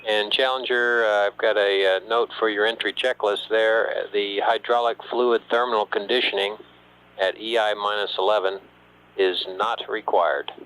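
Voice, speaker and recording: A man working as a mission control communicator, giving measured procedural instructions over the radio. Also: on the low side, fairly even intonation, a bit bright, clean tone, low-quality recording. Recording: radio link with faint background hum.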